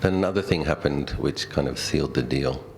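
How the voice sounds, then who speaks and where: Casting: middle-aged Australian male, extremely low register, Buddhist teacher sharing personal anecdotes conversationally and reflectively, light background noise. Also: brisk. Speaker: Buddhist monk giving a talk. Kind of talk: dhamma talk with personal storytelling.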